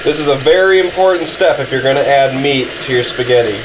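A man's voice: medium pitch, a little fast, noisy background. A young man casually narrating as he cooks in his home kitchen, easygoing and a little rambling, apologizing and restarting as he goes. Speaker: outdoor vlogger cooking camp meals. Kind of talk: casual how-to cooking narration. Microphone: camera filming from above the stove.

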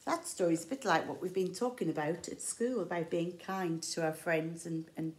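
A woman's voice: on the low side, moderate pace. A middle-aged woman with an English accent reads a picture book aloud to young children, expressively, explaining words simply as a teacher does. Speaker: infant school teacher. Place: indoor room with large windows. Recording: roomy echo.

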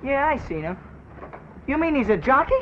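A man's voice: very high-pitched, big pitch swings, normal speed, sharp tone, full character voice. A young man with a New York accent playing a skeptical, needling teenage street kid in an old film comedy. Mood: mocking. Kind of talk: 1940s film comedy acting. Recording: dull, noisy old film soundtrack.

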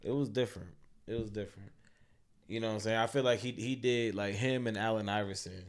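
A man's voice: lowish voice, fairly even intonation, fairly quick. A man co-hosting a podcast, chatting casually in a loose, profane, rambling way with lots of fillers. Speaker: podcast co-host.

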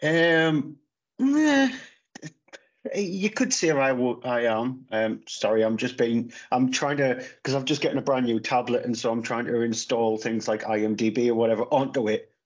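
A man's voice: medium pitch, normal speed. A man, chatting casually on a podcast and rambling through restarts and fillers, recorded from his phone on a video call at home. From Northeast England. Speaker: podcast co-host. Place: small room at home, indoors. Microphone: phone camera on a video call. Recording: slightly dull lo-fi call audio.

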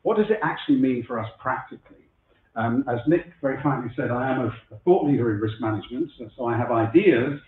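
Husky man giving a conference keynote. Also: mid-range voice. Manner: chatty, self-deprecating, frequent fillers and restarts. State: husky from a cold. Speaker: keynote speaker. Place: lecture room before a live audience. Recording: echoey room over a phone-like line.

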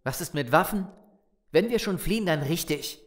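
Zombie audio drama: sarcastic, suspicious survivor performer acting, low register, very animated. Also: female voice, normal speed, lightly acted. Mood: scornful, angry. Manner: sarcastic, confrontational. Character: Simon, distrustful hot-headed survivor of a zombie apocalypse.

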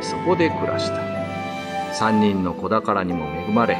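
A man narrating a scripted ghost-story radio drama in a dramatic storytelling style. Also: fairly low pitch. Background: music.

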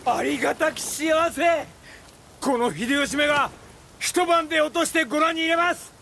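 An actor playing a scrappy, boastful and fawning samurai general in a dramatic video game, with an animated, brash manner. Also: male voice, very high voice, moderate pace, creaky, a little hiss or hum, heavily acted. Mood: excited, fawning. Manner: animated, ingratiating toward his lord, brash with companions. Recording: loud music behind.

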